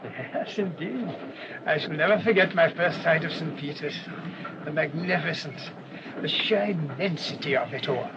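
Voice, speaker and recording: An actor playing a humble, earnest English parish priest in a radio play, mild, hesitant and formally wordy. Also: male voice, fairly high pitch, normal speed, close to an everyday voice. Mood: awed, polite. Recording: dull, noisy old radio recording.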